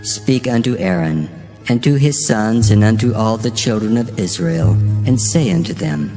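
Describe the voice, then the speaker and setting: A man's voice: lowish voice, very animated, normal speed, mellow. A man voicing God as a solemn, commanding deity giving laws in a dramatized scripture reading. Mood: solemn instructing. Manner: solemn, declarative. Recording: faint music behind. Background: faint music.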